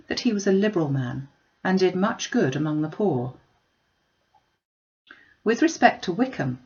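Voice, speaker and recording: A woman reading a classic novel aloud as scripted narration, in a measured, formal storytelling delivery. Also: low voice, vocal fry, highs cut off. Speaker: audiobook reader.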